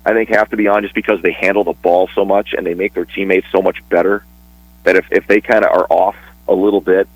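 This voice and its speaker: A man talking casually on a radio sports show, chatty and a bit rambling, with restarts and quick laughs. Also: low-pitched, flat delivery, no echo, rough recording. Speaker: sports broadcaster guest.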